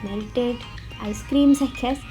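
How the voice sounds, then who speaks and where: A woman with a slightly sick-sounding voice, explaining a drawing tutorial step by step in a friendly, relaxed way. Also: a little high, takes it slowly. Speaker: art tutorial host. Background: faint background music.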